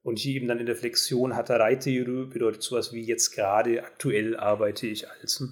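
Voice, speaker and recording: A man's voice: mid-range voice, flat delivery, fairly quick. A man, hosting a podcast and explaining things in a casual, conversational way. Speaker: podcast host.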